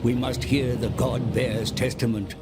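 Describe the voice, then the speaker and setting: An actor playing a cunning old sage and guide in a video game, grave, grandiose and deferential, narrating theatrically. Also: male voice, medium pitch, steady tone, measured pace, loud music behind the voice, lightly acted. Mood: portentous. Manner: grave, portentous. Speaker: narrator and adviser character.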